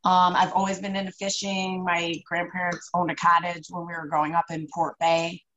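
Woman, 30s, upstate New York, even matter-of-fact guest, low register. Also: normal speed. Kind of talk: casual interview chat. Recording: dull lo-fi sound.

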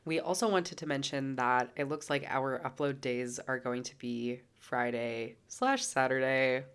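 A woman's voice: a bass voice, steady tone, measured pace, smooth. A woman co-hosting a casual true-crime podcast, congested from a sinus infection and chatty, with lots of "like" fillers. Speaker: podcast co-host.

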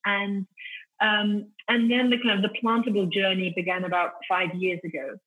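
A woman's voice: mid-range voice, flat delivery, normal speed, husky, narrow, tinny audio. A middle-aged woman from Italy, raised in the UK, explaining things articulately as an interview guest. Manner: articulate, explanatory, with frequent "you know" and restarts. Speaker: interview guest, company founder. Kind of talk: interview answers.

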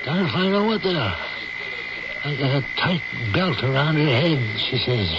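Slow, weary old family doctor. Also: male voice, on the high side, animated, muffled recording. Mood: grave, resigned. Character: weary ailing older male small-town family doctor. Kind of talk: old-time radio drama acting. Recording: noisy, band-limited old radio recording.

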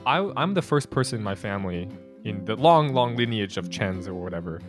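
Low-voiced young man musing casually. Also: very animated, brisk, music in the background. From United States. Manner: thoughtful, hesitant, with frequent restarts and laughs. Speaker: podcast co-host. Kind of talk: casual conversational podcast.

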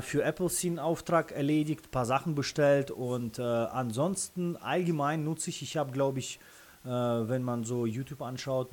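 A man with a Russian accent, a native Russian speaker, answering interview questions casually in halting, self-correcting phrases. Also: medium pitch, darkish tone. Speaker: interview guest, freelance photographer and videographer.

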